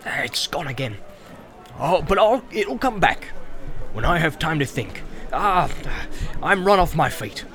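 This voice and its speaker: A man's voice: on the high side, sing-song, unhurried, a bit bright. A man voicing a bustling, talkative, forgetful stout male innkeeper who chatters on in a flustered rush. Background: music.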